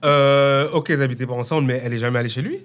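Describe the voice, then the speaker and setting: A young man co-hosting a podcast, chatting in a relaxed and playful, teasing way. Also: medium pitch, racing, clear, resonant tone. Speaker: podcast co-host. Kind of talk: casual chat.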